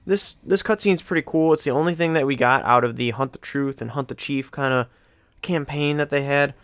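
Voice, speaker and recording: A man gives casual gaming commentary in a clean, smooth voice of medium pitch. His delivery is informal and rambling, recorded dry and close. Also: no room sound. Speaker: video game list host.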